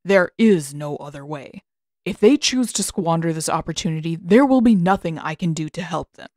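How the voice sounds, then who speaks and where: Woman voicing a low, stern old master. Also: expressive, a little mellow, no echo, clean studio sound. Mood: firm, resigned. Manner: formal, measured, unyielding. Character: stern, reserved older male waterbending master.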